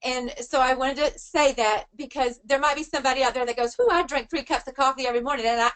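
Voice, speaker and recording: Female vocal coach, middle-aged, high register, chatty anecdotal teaching style. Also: steady tone, fast talker, a bit bright, smooth. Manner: conversational, acts out quoted remarks. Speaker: vocal coach giving a webinar. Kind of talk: informal teaching with personal anecdotes.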